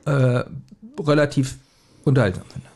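A man hosting a casual podcast, retelling a story scene by scene in a halting, chuckling manner. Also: medium pitch, darkish tone. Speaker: podcast host retelling an audio drama. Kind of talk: casual scene-by-scene recap among friends.

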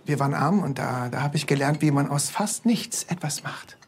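An actor playing a brash, self-made music producer in a film drama. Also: male voice, a little high, lively intonation, moderate pace, a little hiss or hum. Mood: reminiscing.

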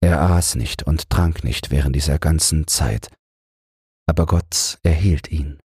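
A man's voice: very deep voice, a little slow, a little mellow, husky, no room sound. A man reading a fairy tale aloud as a bedtime audiobook narrator. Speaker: audiobook reader.

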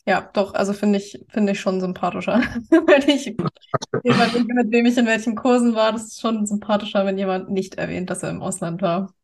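A woman's voice: medium pitch, normal speed, darkish tone, slight background noise. A young woman hosting a podcast, asking chatty, curious follow-up questions. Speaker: podcast host. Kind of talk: casual podcast interview.

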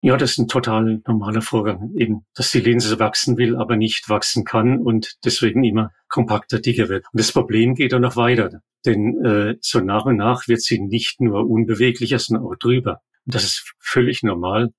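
An older man with a low, husky voice, speaking as an expert guest. He explains things calmly and plainly, step by step, in an interview setting. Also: moderate pace, hardly any pauses. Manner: calm, explanatory, matter-of-fact. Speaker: ophthalmologist guest.

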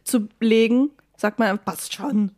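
A woman's voice: a little high, unhurried, darkish tone. A woman co-hosting a podcast in casual comedic chat, with a giggly, playful, slangy way of talking. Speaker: podcast co-host.

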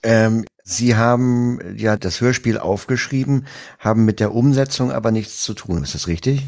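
A man conducting a conversational interview, asking in a halting way and rephrasing as he goes. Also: medium pitch, darkish tone.

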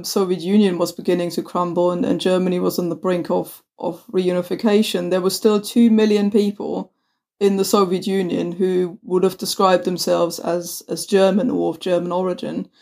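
A woman's voice: on the low side, a little mellow. A woman co-hosting a conversational history podcast, chatty, with many restarts and fillers. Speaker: podcast co-host.

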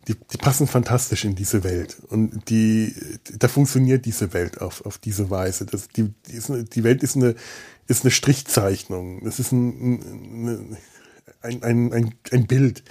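A man speaking casually as a podcast host, thinking aloud in a halting way and repeating words as he searches. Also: mid-range voice, warm tone, breathy edge.

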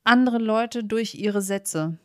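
A woman's voice: a little high, slow pace, fairly bright tone, dry recording. Casual woman podcaster, dry close sound. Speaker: podcast co-host.

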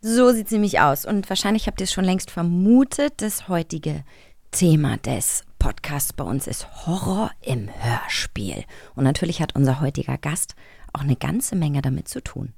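A woman's voice: low-pitched, expressive, normal speed, creaky, darkish tone, no echo, clean studio sound. A woman co-hosting a podcast in a casual, chatty style. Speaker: podcast co-host.

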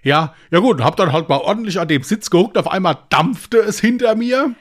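Chatty German man, slightly high voice. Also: lively intonation, normal speed. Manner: rambling storyteller, laughs at his own stories. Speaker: podcast co-host. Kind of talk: casual chat, anecdote telling.

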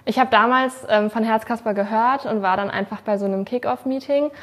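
A woman's voice: fairly high pitch, steady tone, fairly quick, a bit bright. A woman answering an interview question about why she volunteers, with hesitant fillers.

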